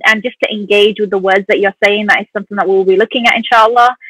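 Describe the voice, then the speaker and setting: A woman co-hosts an online poetry contest and talks through the rules in a chatty way, full of fillers. Her voice sits mid-range and sounds bright. The sound is dry, with no room echo. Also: mid-range voice. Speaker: competition co-host. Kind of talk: casual live-stream hosting. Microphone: online call.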